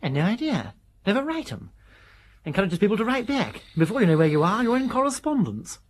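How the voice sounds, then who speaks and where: Droll idler, lively lilt. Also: female voice, medium pitch, a little fast, slightly rough. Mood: droll, idle. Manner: casual, droll, offhand. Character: languid, flippant upper-class idler, lazily amused. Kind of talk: British radio drama acting.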